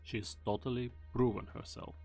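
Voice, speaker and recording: A man playing a grave, calculating mercenary team leader with a measured, serious delivery in an amateur game dub. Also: mid-range voice, little pitch movement, gravelly, darkish tone, soft background music. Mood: serious, firm.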